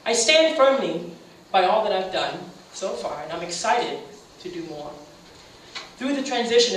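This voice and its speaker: Earnest woman in a very echoey room. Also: on the low side. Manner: earnest, halting with fillers, swelling emphasis on key phrases. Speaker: radio show host giving a speech. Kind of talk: heartfelt community speech. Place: meeting room at a community center. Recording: very echoey room from a distant mic, faint hiss.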